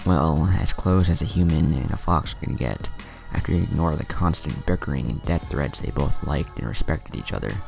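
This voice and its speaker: A man reading a fan fiction story aloud in a plain, steady way, with the odd slip. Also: a bass voice, moderate pace, vocal fry, soft background music.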